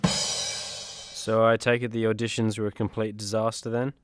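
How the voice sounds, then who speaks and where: An actor playing an earnest superhero in a comedy radio play, talking in a conversational way. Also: male voice, on the low side, completely flat delivery, normal speed, over loud music, natural voice. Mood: dry concern. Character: earnest male superhero, self-promoting team member.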